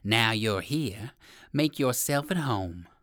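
A storyteller voicing a sly, wicked owl with slow, drawn-out, sarcastic menace in a children's storybook. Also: medium pitch, sing-song, fairly bright tone, dry recording, studio quality. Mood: sarcastic, gloating.

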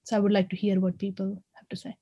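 A woman with an Indian accent talks haltingly at a community discussion, with frequent ums and restarts. Her voice is mellow and soft-edged, and her pitch sits in a middling range. She speaks as a university lecturer sharing her thoughts. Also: completely flat delivery, breathy edge, dull audio. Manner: hesitant, many fillers and restarts. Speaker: university lecturer, audience member. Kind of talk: unscripted remarks at a community discussion.

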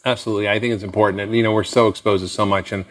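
A man hosting an interview, affirming and summarizing the guest's points in a brisk way. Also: lowish voice, steady tone. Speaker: interview host. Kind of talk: interview conversation.

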